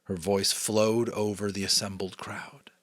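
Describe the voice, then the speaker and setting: A man's voice: lowish voice, flat delivery, a little slow, a bit bright, no echo, studio quality. An American man reading an audiobook as dramatic narration, with theatrical, suspenseful phrasing. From United States. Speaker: audiobook reader.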